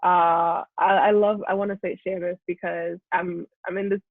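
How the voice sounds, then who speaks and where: A Nigerian woman, a Yoruba native speaker, talking as an interview guest in an animated way, often restarting her sentences and laughing. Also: lowish voice, normal speed, no echo. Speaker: guest content creator. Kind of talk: reflective interview talk. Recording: tinny phone-like line, dry.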